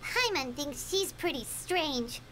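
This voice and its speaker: Very squeaky childlike fairy sidekick. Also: female voice, expressive, slow, fairly bright tone, a little hiss or hum, full character voice, low-quality recording. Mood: puzzled, blunt. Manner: speaks of herself in the third person, exclaiming. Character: small childlike fairy companion, excitable, blunt, easily baffled. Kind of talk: English video game voice acting. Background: game music.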